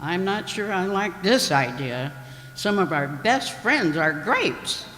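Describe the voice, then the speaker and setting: A woman's voice: fairly low pitch, sing-song, slow pace, fairly bright tone, heavily acted. A woman playing a prim, fretful carrot lady in a satirical radio comedy, hesitant and disapproving. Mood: doubtful. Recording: echoey hall, faint noise.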